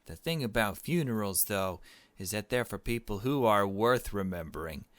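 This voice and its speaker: A man voicing an evasive father in a visual novel, speaking gently and hesitantly to his young daughter. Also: on the low side, expressive, moderate pace, no echo.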